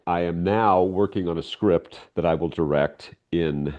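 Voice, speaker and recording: A man's voice: low voice, unhurried, muffled recording. An older man talking conversationally as an interview guest, explaining his craft as a director with emphatic points and short acted-out examples. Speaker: guest, audio drama director and writer. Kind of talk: conversational interview with practical advice.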